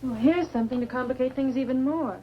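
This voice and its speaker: A woman's voice: high-pitched, fast, close to an everyday voice. An actress playing a businesslike female photographer on a research team, in 1950s science fiction film style. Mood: intent. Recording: dull, noisy old film soundtrack.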